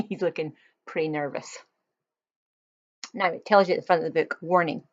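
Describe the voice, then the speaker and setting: A woman's voice: low-pitched, moderate pace, lo-fi sound. A woman reading a children's picture book aloud, expressively, with chatty explanatory asides to young listeners.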